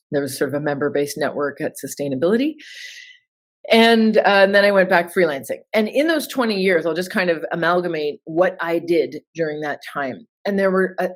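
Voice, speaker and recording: A woman in her forties from Toronto, giving chatty, informal answers as an interview guest over a remote call. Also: low-pitched. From Toronto, Canada. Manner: chatty and informal, with filler words. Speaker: interview guest, sustainability consultant.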